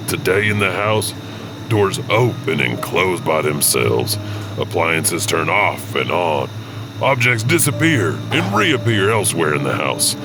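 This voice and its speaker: Deep-voiced man narrating ghost stories. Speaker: narrator and host. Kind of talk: scripted ghost story narration. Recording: music behind the voice. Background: music.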